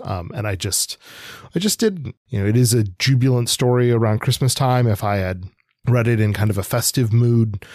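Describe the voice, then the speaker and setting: Male co-host for casual book talk: heavy vocal fry, dry close sound, musing and understated delivery. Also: lowish voice, darkish tone, no echo. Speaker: podcast co-host.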